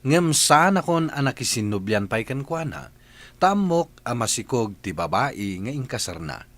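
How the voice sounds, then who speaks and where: A man hosting a radio drama, reading scripted letters and narration with expressive, dramatic phrasing. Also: mid-range voice, moderate pace.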